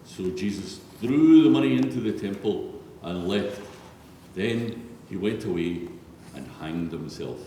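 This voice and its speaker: A man's voice: sits low, animated, measured pace, dark tone. A man narrating a solemn scripted Bible reading in a large church. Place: large reverberant church, read aloud. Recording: echoey church hall, distant, faint noise.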